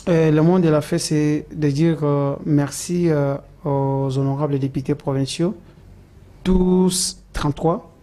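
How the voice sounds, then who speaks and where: A man's voice: on the high side, fairly even intonation, takes it slowly, warm tone. A man answering interview questions, hesitant and full of "euh" fillers and restarts. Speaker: political supporter interviewed as guest.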